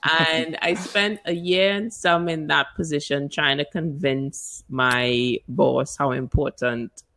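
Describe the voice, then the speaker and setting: A woman in her forties from Trinidad with a Trinidadian accent, answering interview questions as a marketing agency owner. She talks in an animated way, laughs often and stretches words for emphasis. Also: low-pitched, slow, a little hiss or hum. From Trinidad and Tobago.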